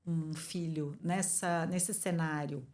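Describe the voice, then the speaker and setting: Woman host, lowish voice, encouraging, chimes in with approving little remarks. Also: fairly even intonation, a little mellow. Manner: encouraging, with quick approving interjections. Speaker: podcast host. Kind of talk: interviewing a guest.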